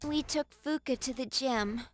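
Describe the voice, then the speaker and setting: A woman's voice: high voice, moderate pace, a little hiss or hum, lightly acted. An actress playing a guilt-ridden teenage schoolgirl bully in a game dub, confessing in a hesitant manner. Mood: shaken confession.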